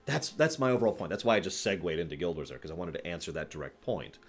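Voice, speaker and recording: A man's voice: low-pitched, big pitch swings, fast talker, slightly rough. A man hosting a livestream, talking casually about games to a live chat in an emphatic, rambling way, often repeating phrases for stress. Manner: rambling, digressive. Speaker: livestream host.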